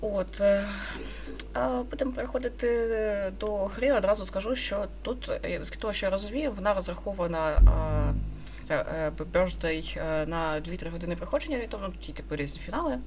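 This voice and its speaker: A woman's voice: mid-range voice, fairly quick, darkish tone, slight background noise. A woman in her twenties giving casual let's-play commentary in a hesitant, rambling way, often correcting herself. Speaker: gaming video host. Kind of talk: casual let's-play commentary, reading game dialogue aloud.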